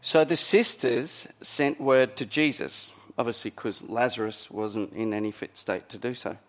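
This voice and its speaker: Croaky pastor, conversational. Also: male voice, medium pitch, measured pace. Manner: conversational, many ums and restarts. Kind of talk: sermon.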